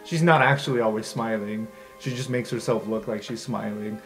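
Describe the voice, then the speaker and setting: A man's voice: fairly low pitch, fairly even intonation, normal speed, low-quality recording. A man narrating an improvised tabletop roleplay game as game master. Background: soft music.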